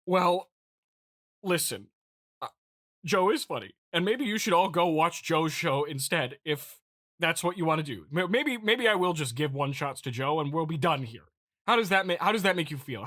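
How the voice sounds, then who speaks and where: A man hosting a comedy podcast, improvising a halting, mock-earnest monologue in which he stammers and repeats his words. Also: fairly high pitch, animated, fairly bright tone, no echo, studio quality. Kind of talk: improvised comedic monologue reading listener feedback.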